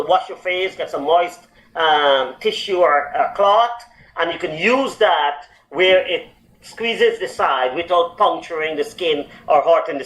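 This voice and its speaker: A man's voice: high voice, a bit bright, low-quality recording. A man who is a doctor, explaining health advice on a talk show with lots of fillers and restarts. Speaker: doctor answering health questions. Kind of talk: medical advice chat.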